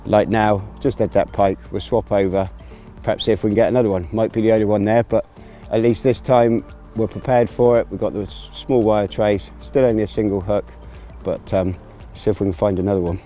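A man in his thirties talks through his fishing setup on an outdoor riverbank, relaxed and unhurried, with frequent ums. His voice sits fairly low and sounds mellow and soft-edged. A faint music bed plays beneath him. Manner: relaxed, explains gear step by step with many fillers. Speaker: angling presenter.

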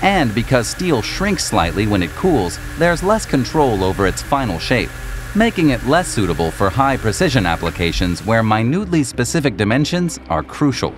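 Man narrating with lively, varied intonation. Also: mid-range voice, moderate pace, background noise. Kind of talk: scripted documentary narration.

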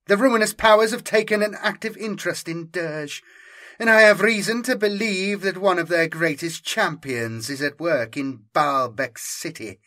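A man's voice: high voice, moderate pace, bright, forceful tone, pitched above the performer's own voice. A man reading an audiobook, voicing a cold, commanding female inquisitor with a cybernetic eye, her speech curt and imperious. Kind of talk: audiobook character voice.

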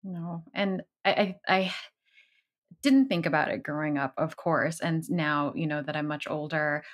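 A woman co-hosts a podcast and speaks slowly and thoughtfully. Her voice is one of the deepest among women. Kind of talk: casual interview chat.

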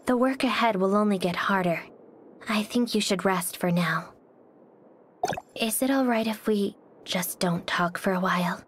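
A woman voicing a cold, reserved, melancholy young swordswoman for a video game, speaking softly and breathily in a quiet, detached, formal manner. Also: mid-range voice, measured pace, a little hiss or hum, close to an everyday voice. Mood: reserved, polite. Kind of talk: scripted game character voice lines.

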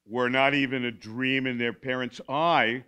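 An older man giving an informal keynote talk at a lectern in a school hall, halting, with many fillers and restarts and wry asides. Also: medium pitch, fairly even intonation, pushed and bright, clear, resonant tone. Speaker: keynote speaker. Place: school hall with a seated audience. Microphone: podium mic, standing at lectern.

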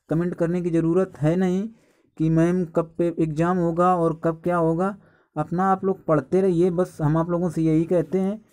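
A man's voice: on the high side, warm tone. A man giving informal exam guidance as a coaching teacher, instructive and often addressing his students as friends.

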